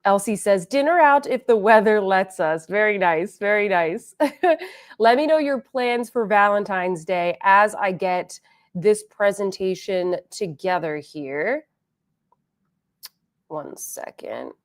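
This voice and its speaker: A woman's voice: medium pitch, animated, takes it slowly. A woman hosting a beauty livestream, chatting casually with viewers and reading their comments aloud, a little congested and sniffly. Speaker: beauty channel host.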